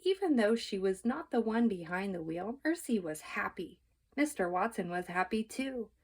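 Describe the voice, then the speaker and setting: Expressive woman reading a children's story. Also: medium pitch, normal speed. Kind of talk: children's book read-aloud, scripted narration.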